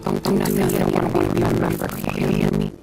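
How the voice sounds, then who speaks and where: An actor playing a world-weary, chiding friend of a gangster in a video game, with a very gravelly voice. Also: male voice, very deep voice, completely flat delivery, fast talker, music in the background, full character voice. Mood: reflective, chiding. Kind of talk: video game cutscene acting.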